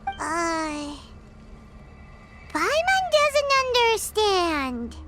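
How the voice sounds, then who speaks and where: A woman voicing a tiny, naive, eager fairy companion in a video game, childlike, squeaky and cutesy. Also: very animated, drawn-out words, heavy background noise, exaggerated voice. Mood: puzzled. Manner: squeaky, cutesy, speaks of herself in third person. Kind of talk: scripted video game voice acting.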